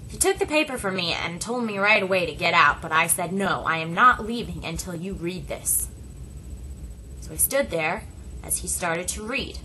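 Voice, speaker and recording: A woman voicing a first-person story as an interpreter, speaking deliberately in short phrases with dramatic pauses. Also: lowish voice, expressive, bright, forceful tone, slight background noise, poor audio.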